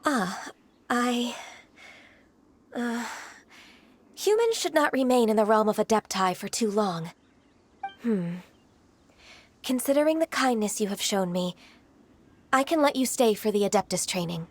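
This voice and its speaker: A woman playing a gentle, reserved young half-human immortal in a video game, polite and earnest. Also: fairly high pitch, animated, a little slow, pauses often, a little hiss or hum. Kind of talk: video game character acting.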